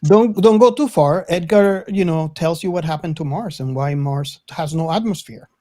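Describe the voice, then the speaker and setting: Guy hosting a podcast, casual, kinda halting phrasing, really dry room sound. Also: fairly high pitch, warm tone, no echo. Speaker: podcast host. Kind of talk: casual chat.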